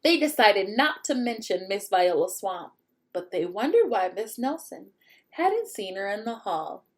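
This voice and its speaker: A woman reading a children's picture book aloud expressively, pausing to put questions to young listeners. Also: mid-range voice, very animated. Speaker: read-aloud storyteller.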